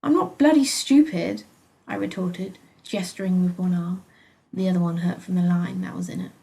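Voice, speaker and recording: A woman with a British accent reading her own story aloud as an expressive first-person narrator. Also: fairly low pitch, a little fast, vocal fry.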